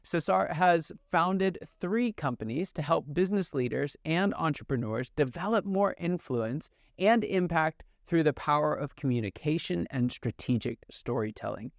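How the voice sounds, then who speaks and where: A man's voice: on the high side, no echo, studio quality. A man hosting a podcast, introducing his guest with enthusiasm. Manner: enthusiastic, upbeat. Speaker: podcast host.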